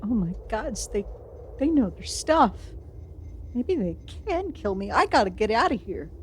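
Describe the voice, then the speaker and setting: A woman's voice: a little high, very animated, measured pace, background noise. A woman playing a proud, youthful immortal villain sorceress in a fantasy audio roleplay, theatrical, haughty and easily put on the defensive. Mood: alarmed.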